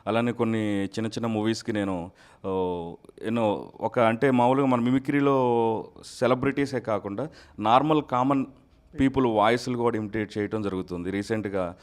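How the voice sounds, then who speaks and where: A man's voice: on the low side, moderate pace, pauses often. A man speaking conversationally as an interview guest, explaining his work. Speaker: guest, mimicry artist.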